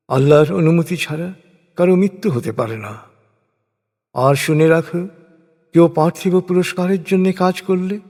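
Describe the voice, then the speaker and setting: A man gives a solemn, scripted reading of translated scripture in measured, declamatory phrases. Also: fairly high pitch, gravelly, darkish tone, breathy edge. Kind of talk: solemn scripted religious recitation of translated verses. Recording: long hall-like reverb.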